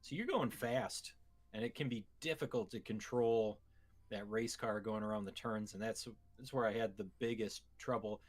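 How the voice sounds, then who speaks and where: A man's voice: fairly low pitch, moderate pace. A man co-hosting a gaming show, talking casually about video games.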